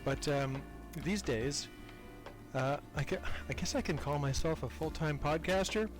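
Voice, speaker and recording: A man's voice: on the high side, unhurried, music in the background. An older man chatting casually as a podcast guest, talkative and self-deprecating. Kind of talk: casual interview chat.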